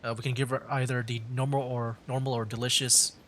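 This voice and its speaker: A man's voice: mid-range voice, little pitch movement, a little fast, fairly bright tone. A man doing casual live game commentary as a streamer, often chuckling as he talks. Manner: chuckles often while talking. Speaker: streamer and gamer. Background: faint game music.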